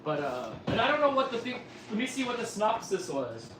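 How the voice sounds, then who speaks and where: A man's voice: sits high, very animated, fairly quick. A man in his forties hosting a livestream, chatting casually with viewers in a rambling, teasing way, with noise behind him. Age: 40s. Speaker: livestream host. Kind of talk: casual chat with live viewers.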